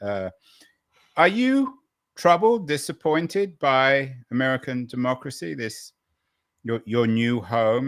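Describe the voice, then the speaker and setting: A man's voice: medium pitch, a bit bright, no echo. Probing male interviewer, dry sound. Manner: probing, rambling questions with hesitations. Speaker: podcast host and interviewer. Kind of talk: interview questions.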